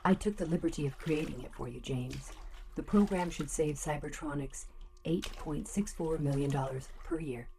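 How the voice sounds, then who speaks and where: An actress playing a polite, emotionless computerized home assistant in an audio drama, formal, measured and deadpan. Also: female voice, deep voice, vocal fry, a little hiss or hum. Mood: helpful, measured.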